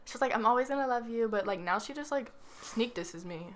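A woman's voice: fairly high pitch, lively intonation, fast talker, a little hiss or hum. A tired teenage girl co-hosting a podcast, talking in laid-back, slangy casual chat. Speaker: podcast co-host.

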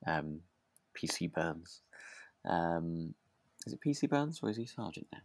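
A man co-hosting a podcast in relaxed discussion, feeling his way through sentences with halting, hesitant phrasing. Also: deep voice, dry recording. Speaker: podcast co-host. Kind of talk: relaxed discussion of a radio drama.

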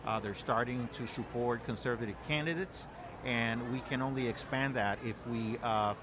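A man's voice: medium pitch, normal speed, noisy background. Earnest man from Puerto Rico outdoors. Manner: earnest, many fillers and repeated words. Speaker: guest, political director. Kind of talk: conversational interview. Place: outdoor event.

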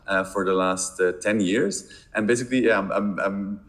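Hesitant low-voiced man from Belgium. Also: moderate pace. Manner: hesitant, many repeated words and fillers. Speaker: podcast guest, marketing director. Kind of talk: casual interview answers.

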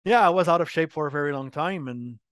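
A middle-aged French Canadian man, a native French speaker, answering interview questions in a candid, animated way. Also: fairly high pitch, fast, clean tone, no echo, studio quality. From Quebec, Canada. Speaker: guest, brand marketing head.

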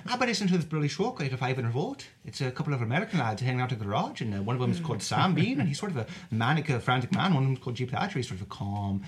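A man's voice: medium pitch, rapid-fire, few pauses, lightly acted. A man voicing a rambling, blustering Irish man with a put-on Irish accent, on a comedy podcast. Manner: rambling, animated, exaggerated. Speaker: podcast co-host.

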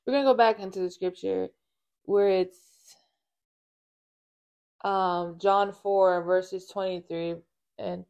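An animated woman talking about faith with a loud, projected voice and a middle pitch. She speaks emphatically and often says "like", in a casual conversational way. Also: takes it slowly, creaky, long pauses. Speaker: co-host discussing faith.

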